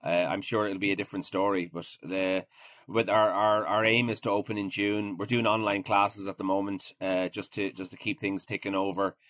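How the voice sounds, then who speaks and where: A man with an Irish accent speaks in a fairly low voice, recorded close and dry. He talks casually as an interviewee, feeling his way through answers with hesitant, doubled-up words. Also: flat delivery, fairly bright tone, no room sound. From Ireland. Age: 40s. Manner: hesitant, halting, repeats words. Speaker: interview guest, restaurateur.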